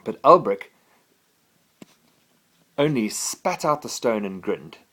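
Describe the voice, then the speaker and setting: A man narrates a children's storybook at bedtime as a father would. His delivery is expressive, and his pitch moves around in a very animated way. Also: slow pace.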